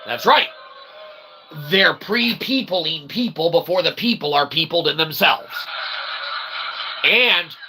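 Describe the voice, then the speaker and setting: A man's voice: a little high, animated, slow pace, long pauses, pushed and bright, faint music behind. A man who hosts his own channel rants emphatically to viewers in wordy mock-academic phrases mixed with slang, often checking in with a pointed "okay?". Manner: punctuates statements with "okay?" and "all right". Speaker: channel host, self-published author. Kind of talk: opinionated rant to viewers.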